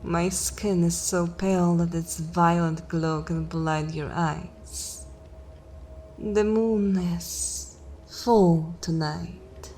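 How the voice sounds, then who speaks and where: A woman's voice: lowish voice, mellow, slight background noise. A woman voicing a seductive, imperious vampire mistress in an ASMR fantasy roleplay, commanding and coldly mocking, sometimes dropping into a whisper. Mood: menacing, teasing. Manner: slow, commanding, theatrical, breathy whispering at times.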